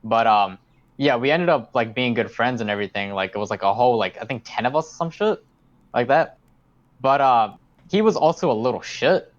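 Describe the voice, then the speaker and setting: A man's voice: medium pitch, lively intonation, brisk. Rambling New guy, slightly dull audio. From South Auckland, New Zealand. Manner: swears freely, rambling with many fillers. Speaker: podcast co-host. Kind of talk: casual storytelling chat.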